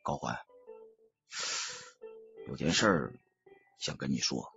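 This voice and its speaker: A man voicing an earnest, troubled young man who speaks in a hushed, hesitant, gentle way. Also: extremely low pitch, lively intonation, slow pace, creaky, lo-fi sound, faint music behind, pitched below the performer's own voice, exaggerated voice. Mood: heavy, hesitant.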